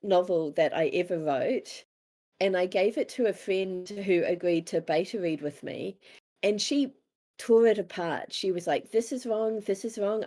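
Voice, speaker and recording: A woman's voice: lowish voice, dark tone. A woman with a New Zealand accent talking casually as an interviewed author, candid and self-deprecating, acting out bits of dialogue as she tells stories.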